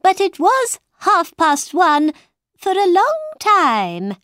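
A woman's voice: very high-pitched, huge pitch swings, slow pace, a bit bright, no echo, clean studio sound, pitched above the performer's own voice, full character voice. A woman voicing an eccentric, irritable man in a children's storybook, blunt and emphatic. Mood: emphatic, storytelling. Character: Mad Hatter, eccentric irritable man at tea party.

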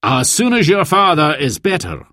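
A man's voice: a little high, very animated, moderate pace, pushed and bright, no echo, clean studio sound, voice pushed up. A man voicing a generous hostel owner speaking in an inviting way in a storybook reading. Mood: kindly.

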